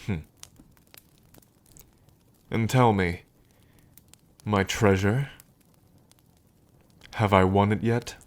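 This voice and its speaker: A man playing a smitten, teasing dragon king in an intimate ASMR roleplay, with unhurried, murmuring pauses. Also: lowish voice. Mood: tender, teasing. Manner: unhurried, murmuring pauses, hums and soft chuckles.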